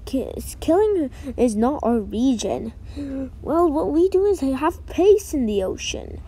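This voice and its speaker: A woman's voice: high-pitched, very animated, measured pace, dark tone. A woman voicing a stern, peace-keeping royal ruler of the ocean in a cartoon, firm and admonishing. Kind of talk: amateur cartoon character voice acting.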